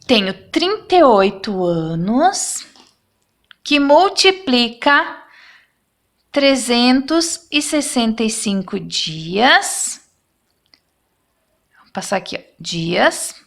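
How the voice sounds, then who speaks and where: A woman's voice: on the high side, big pitch swings, very slow, long pauses. A woman, explaining math problems step by step as a teacher and checking in with her students after each step. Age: 30s.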